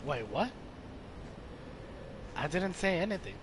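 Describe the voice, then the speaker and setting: Casual gameplay reactor, very slow delivery, noticeable background noise. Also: medium pitch, big pitch swings.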